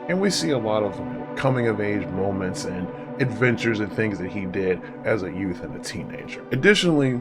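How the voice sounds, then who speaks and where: A man's voice: fairly low pitch, animated, fairly quick, few pauses. A man hosting a casual, conversational review monologue with informal, rambling asides. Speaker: host of an audiobook review series. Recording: music behind the voice. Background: music.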